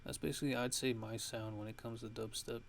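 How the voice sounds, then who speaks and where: A man giving a casual music production tutorial in a halting, laid-back, ASMR-style way, talking offhand. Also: mid-range voice, steady tone, vocal fry, a little hiss or hum.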